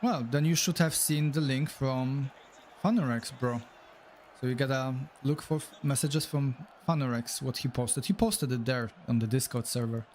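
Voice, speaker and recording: A man's voice: medium pitch, moderate pace, a little mellow, a little hiss or hum. Chatty man with a Polish accent. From Poland. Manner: chatty, reacts aloud to the match, says "bro" and "man". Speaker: streamer. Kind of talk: live gameplay commentary and chat.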